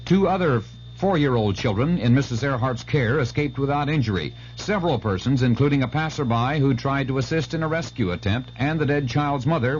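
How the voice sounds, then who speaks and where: A man with an American accent reads local radio news from a script in a medium-pitched voice. The sound is the dull band of a 1970s AM broadcast, with faint noise underneath. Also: darkish tone.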